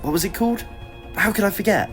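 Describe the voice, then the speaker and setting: A man's voice: high-pitched, quick pace, soft background music, voice pushed up, lightly acted. A man voicing an insistent, indignant, inquisitive time traveller in an audio story. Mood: puzzled, agitated.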